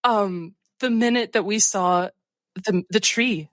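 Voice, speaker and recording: A woman's voice: medium pitch, slow pace, a bit bright, no room sound, studio quality. A woman who plays in a tabletop game, chatting casually about the session. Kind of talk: casual post-game chat.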